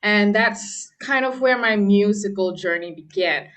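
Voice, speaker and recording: A woman's voice: mid-range voice, measured pace, a bit bright. A young woman from Malaysia, a pianist, chatting casually in an interview with plenty of fillers and laughs. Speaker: guest pianist.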